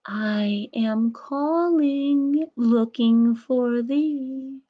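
A gentle little storybook shepherdess, played by a woman, calls in a high, lilting singsong. The words are drawn out, tender and a little anxious. The recording is slightly dull. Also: very slow. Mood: plaintive singing.

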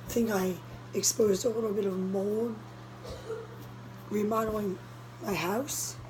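A young woman with a hoarse voice, telling a story in a casual vlog, urgent and breathless, filmed close up on a phone in a small room indoors. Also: mid-range voice, background noise. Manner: breathless, urgent retelling of a scare. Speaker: cat vlogger. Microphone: phone camera held close, low angle.

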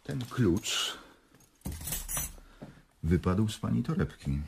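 An actor playing an unflappable prosecutor who questions formally and insistently in a radio drama. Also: male voice, fairly low pitch, very animated, slow, vocal fry, slight background noise, exaggerated voice. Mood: calm, pointed. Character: probing, unflappable male prosecutor leading a murder investigation. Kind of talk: Polish radio drama acting.

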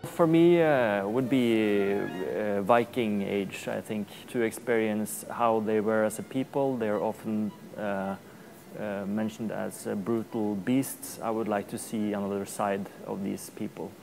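A Norwegian man in his thirties, a cellist, answering interview questions slowly, searching for words. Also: lowish voice, creaky, a little mellow, faint music behind. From Norway. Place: museum gallery.

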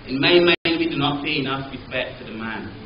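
A man's voice: medium pitch, a little fast, crowd noise. A middle-aged man with a Barbadian accent giving a public lecture, emphatic and rhetorical, stressing key words. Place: lecture hall with audience. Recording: roomy, slightly dull hall recording.

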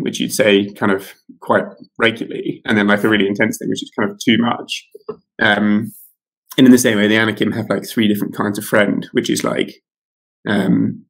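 A man in his thirties, an author being interviewed over a video call from home, talking casually with many fillers and restarts. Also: on the low side. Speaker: author guest. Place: home room with curtains.

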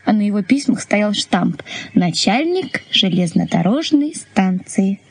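An actress playing a spirited, teasing young woman in love in a Soviet radio play, with a husky voice and light, expressive delivery. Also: mid-range voice, unhurried, exaggerated voice. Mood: wistful, playful.

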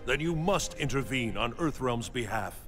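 An actor playing a solemn, pleading thunder god and protector in a video game, with grave, formal speech. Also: male voice, mid-range voice, expressive, normal speed, over music, lightly acted. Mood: urgent pleading. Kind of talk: dramatic video game voice acting.

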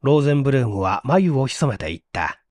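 Animated male story narrator. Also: mid-range voice, fast talker, no echo. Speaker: audiobook narrator. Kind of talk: dramatic scripted story narration.

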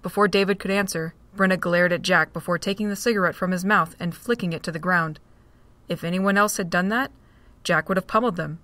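A woman narrating a fan audio drama with a steady storytelling read. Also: on the low side, fairly quick, dry recording. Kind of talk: scripted narration.

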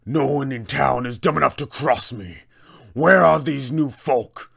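A man voicing a huge, bullying fantasy town enforcer for a tabletop game, threatening, deliberate and commanding. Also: medium pitch, creaky, a bit bright, breathy edge, full character voice. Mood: angry bellowing. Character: towering, menacing, bullying town enforcer with demon arm.

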